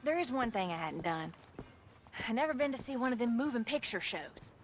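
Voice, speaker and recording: A woman's voice: a little high, animated, fairly quick, a little hiss or hum, lightly acted. An American woman playing a plain-spoken frontier sweetheart in a video game, wry and teasing. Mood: delighted, wondering. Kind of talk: video game acting, casual banter.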